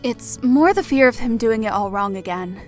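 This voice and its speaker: A woman playing a wry, affectionate elf in an audio drama, speaking in a measured, dryly teasing way. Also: fairly high pitch, lively intonation, darkish tone, faint music behind, close to an everyday voice. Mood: wry concern. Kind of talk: audio drama character acting.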